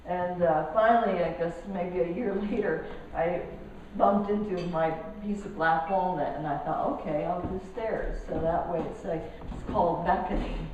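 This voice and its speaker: An older woman from Colombia talks through her artwork in a gallery, pausing and restarting her sentences. Her voice sits a little low and comes from some distance off the mic. The big hall gives a strong echo over a faint background hiss. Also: steady tone, darkish tone, dull audio. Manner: halting, with many restarts and fillers. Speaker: artist giving a gallery talk. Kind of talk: casual artist talk. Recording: echoey hall, speaker off mic, faint noise.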